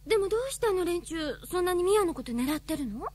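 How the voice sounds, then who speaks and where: Excitable naive young lady, super high and animated, radio drama. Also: fast talker, no room sound. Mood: flustered. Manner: flustered, easily riled. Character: flighty, excitable, naive young woman writer.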